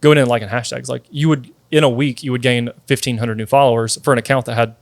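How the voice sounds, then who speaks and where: Guest, man, 30, mid-pitched, talkative storyteller, dry close mic. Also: steady tone, quick pace, gravelly, no echo. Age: 30s. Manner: chatty, many fillers like "like" and "dude". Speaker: guest, sweet tea company founder. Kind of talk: casual interview storytelling.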